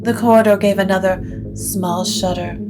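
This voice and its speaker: A woman narrating scripted supernatural fiction in a measured, literary storytelling manner. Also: mid-range voice, fairly even intonation, over music.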